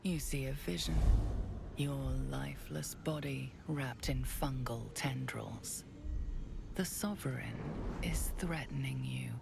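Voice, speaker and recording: A woman playing a grave, ominous narrator in a fantasy video game, delivering scripted narration in a hushed, portentous way. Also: very deep voice, slow, gravelly, heavily acted. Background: music.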